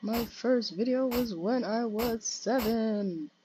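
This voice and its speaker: A young teen boy with a high, childlike voice, casually commenting on a strategy game in a cheeky, offhand way. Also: slow, background noise. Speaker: gaming channel host.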